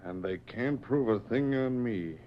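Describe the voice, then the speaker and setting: A man's voice: medium pitch, unhurried, lightly acted. A man playing a greedy, scheming army lieutenant in a radio western, curt and bossy. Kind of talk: 1940s radio western acting. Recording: muffled vintage radio recording, faint noise.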